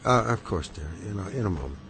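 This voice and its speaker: A stiff and fussy married man in a radio drama. His fairly low voice hesitates and blusters with moral certainty, and music plays under him. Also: expressive, fast, a bit bright. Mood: evasive. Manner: fussy, hesitant, flustered, self-righteous. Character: stiff, moralizing husband growing obsessed with gambling.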